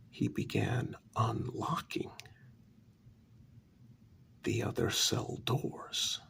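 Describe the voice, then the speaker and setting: A man telling a story in a soft-spoken ASMR style, breathy and deliberate, with many dramatic pauses. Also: medium pitch. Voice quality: breathy half-whisper with heavy creak. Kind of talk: soft-spoken ASMR story narration, first-person role-play.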